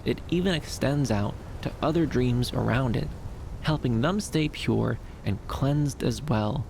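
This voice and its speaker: Gentle, shy, gravelly-edged young dream wanderer, played by a man. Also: medium pitch, measured pace, a little hiss or hum, rough recording. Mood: calm, welcoming. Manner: soft-spoken, hesitant, soothing, with lilting upturns. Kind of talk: ASMR roleplay.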